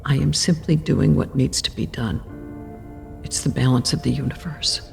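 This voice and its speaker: An actress playing a solemn, ageless female keeper of death in a horror audio drama, gentle but resolute, with a gravelly, deliberate delivery. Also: extremely low pitch, animated, full character voice. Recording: faint music underneath.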